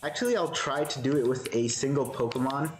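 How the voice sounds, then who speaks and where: A man live-streaming a game, with a soothing voice, thinking aloud in a relaxed way and answering chat. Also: medium pitch, fairly quick, reverberant, faint music behind.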